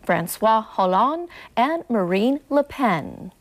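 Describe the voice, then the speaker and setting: A woman's voice: fairly high pitch, sing-song, takes it slowly. A woman reading scripted news stories as a news anchor. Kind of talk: scripted newsreel narration.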